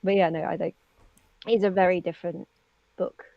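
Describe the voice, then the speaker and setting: A woman's voice: on the low side, steady tone, moderate pace, creaky, no room sound. A woman co-hosting an interview in casual, chatty style, heard on a lo-fi microphone. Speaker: interview co-host. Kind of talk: casual chat. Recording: dull lo-fi mic, dry.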